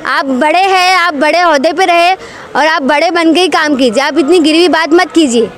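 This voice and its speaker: High-pitched, insistent woman making a political appeal. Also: normal speed. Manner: insistent, admonishing, repetitive appeals. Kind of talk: impassioned unscripted political statement to camera.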